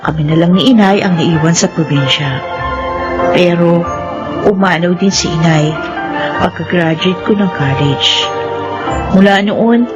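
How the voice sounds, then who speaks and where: A woman in her twenties playing a young college graduate who narrates her own story in a radio drama, earnest and soft-spoken. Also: fairly low pitch, unhurried, long pauses, loud music behind the voice, natural voice. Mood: wistful. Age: 20s. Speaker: narrator and lead character. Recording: muffled vintage radio broadcast.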